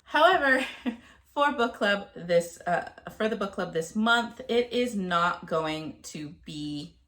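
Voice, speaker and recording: A woman in her thirties talking casually to camera about her month's reading, a bit nasal and gravelly from a cold, with plenty of ums and uhs. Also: mid-range voice, lively intonation, takes it slowly, fairly bright tone. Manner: chatty, with frequent ums and uhs. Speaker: book vlogger.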